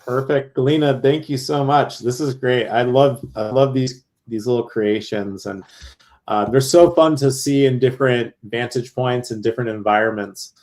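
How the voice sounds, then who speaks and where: A man's voice: mid-range voice, moderate pace, darkish tone. Man hosting a showcase, upbeat, casual, rambling with uhs and ums. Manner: upbeat, rambling, many fillers. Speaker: cohort host and moderator. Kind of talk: casual live event hosting.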